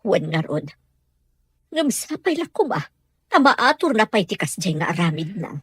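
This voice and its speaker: A woman's voice: medium pitch, big pitch swings, normal speed, a bit bright, husky, dry recording, studio quality. A woman with an Ilocano accent playing a caring, worried middle-aged mother in an audio drama.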